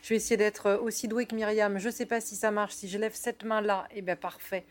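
A woman's voice: mid-range voice, little pitch movement, fairly quick. A woman co-presenting a live talk show, introducing a guest with chatty asides. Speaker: TV co-host.

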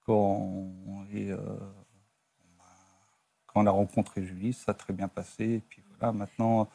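A middle-aged man, a talk show guest recalling his own life in a halting, plain-spoken, casual way. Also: fairly low pitch, level tone, creaky, dark tone, husky, dry recording. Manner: halting, plain-spoken, often tags phrases with a filler. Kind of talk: casual personal recollection. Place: TV studio.

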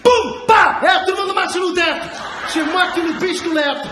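A man playing a hot-headed, bumbling Portuguese man in a comedy sketch, shouting with a Portuguese accent. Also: very high-pitched, normal speed. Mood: blustering, indignant. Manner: shouting, blustering. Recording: echoey, noisy stage recording with crowd.

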